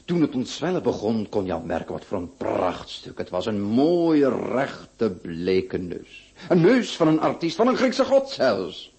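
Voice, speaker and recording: Posh marquis, gushy, voice goes up and down a lot. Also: male voice, on the low side, huge pitch swings, a little slow, darkish tone, full character voice. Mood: effusive. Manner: effusive, sprinkled with French. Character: aristocratic male marquis, genteel and gushing. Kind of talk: radio drama acting.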